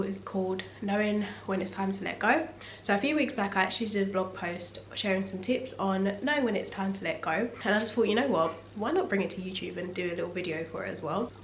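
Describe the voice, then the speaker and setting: A young woman with a husky voice from a cough, talking in a relaxed, reflective sit-down vlog at home. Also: medium pitch, slight background noise. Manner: relaxed, reflective and faith-focused. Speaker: vlogger. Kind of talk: casual sit-down talk. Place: room at home. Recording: echoey room, faint ambience.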